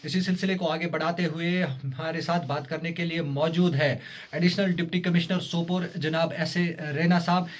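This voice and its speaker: A man's voice: fairly high pitch, fairly even intonation. A man with a Kashmiri accent reporting and interviewing as a news journalist, hesitant and repeating himself as he goes. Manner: hesitant, halting delivery with repeated phrases.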